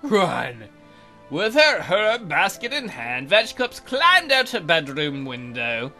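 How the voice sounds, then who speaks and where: A man's voice: on the high side, wildly swooping pitch, slow pace, pushed and bright, faint music behind. A man hosting a let's play, reading narration aloud and adding casual, crude, sarcastic commentary. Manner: sarcastic, sweary.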